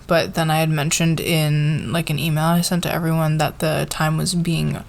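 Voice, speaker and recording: A woman playing a friendly, encouraging boss in an ASMR roleplay, talking to a team in a halting, soft-spoken office manner. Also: low-pitched, little pitch movement, gravelly. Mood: appreciative, encouraging.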